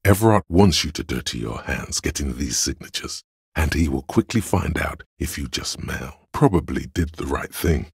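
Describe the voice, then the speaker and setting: A man voicing the cold, dry inner logic of a detective in a video game, measured and analytical, with a gravelly, husky delivery. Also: extremely low pitch, animated, no room sound, exaggerated voice. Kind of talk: scripted video game voice acting, second-person narration.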